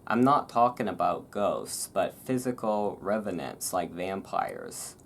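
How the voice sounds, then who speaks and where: A person reading aloud in a relaxed way with casual, rambling asides, halting and self-deprecating about it. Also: sits low, a little hiss or hum. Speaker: reader and host. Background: thunderstorm ambience.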